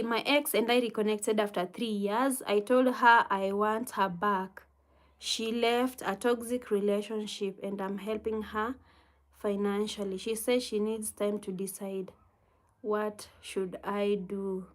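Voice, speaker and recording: A woman with a Kenyan accent hosting a casual livestream, reading viewer comments and giving gentle, unhurried advice with soft laughs. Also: mid-range voice, fairly even intonation.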